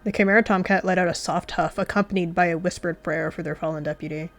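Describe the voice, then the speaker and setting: A woman's voice: lowish voice, steady tone, fast, faint music behind. A woman narrating a game roleplay as a story, slipping into chatty asides.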